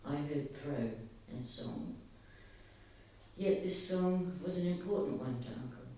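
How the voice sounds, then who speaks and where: A soft-spoken man giving an earnest, halting public talk, often pausing. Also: on the high side, slight background noise. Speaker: speaker at a gathering. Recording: very echoey, distant, faint ambience.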